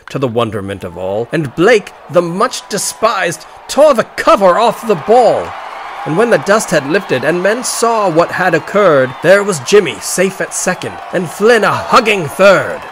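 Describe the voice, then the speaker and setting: Higher-voiced man reciting poetry dramatically. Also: noisy background. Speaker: poetry reader.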